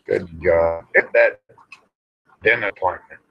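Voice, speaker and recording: A man rambles casually to livestream viewers in a folksy, grumbling way, pausing often. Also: low voice, gravelly, breathy edge, no room sound. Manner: folksy, grumbling, drawn-out with pauses. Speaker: livestream host. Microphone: small microphone near the face. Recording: dull, muffled livestream audio.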